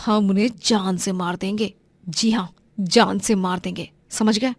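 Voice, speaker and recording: A woman's voice: mid-range voice, steady tone, a little slow, dry recording, studio quality. A woman voicing a cruel, scheming village wife in an audio moral story, emphatic and scornful. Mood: scheming, menacing.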